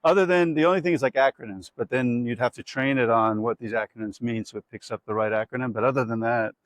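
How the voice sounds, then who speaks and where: A middle-aged American man hosts a conversation, asking long, curious questions and backing up his guest with quick agreement. His voice has a mid pitch and is recorded dry and close. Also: no room sound. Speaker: podcast host. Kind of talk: conversational interview.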